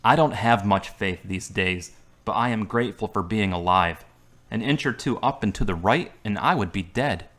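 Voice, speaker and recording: A man's voice: fairly low pitch, normal speed, a bit bright, close to an everyday voice. Man as sardonic recovering carpenter, dry humor. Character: wry male carpenter recovering from surgery. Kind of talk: amateur audio drama.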